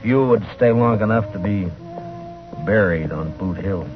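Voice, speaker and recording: An actor playing a stern, steady frontier marshal who also narrates, in old-time western radio drama style. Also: male voice, on the low side, measured pace, close to an everyday voice. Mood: grave narration. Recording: muffled old radio broadcast, music behind.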